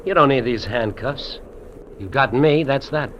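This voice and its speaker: An actor playing a lonely, lovestruck fugitive trapper, earnest and confiding, in 1950s radio drama style. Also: male voice, mid-range voice, unhurried, lightly acted. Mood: resigned. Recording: dull, noisy old radio recording.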